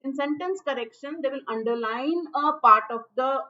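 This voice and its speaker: Woman with a high voice, chatty exam-prep teacher on a muffled, dull stream. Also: normal speed, bright, forceful tone. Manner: friendly, chatty, with restarts and fillers. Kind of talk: online exam prep lesson. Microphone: low-level stream mic. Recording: dull, muffled livestream audio.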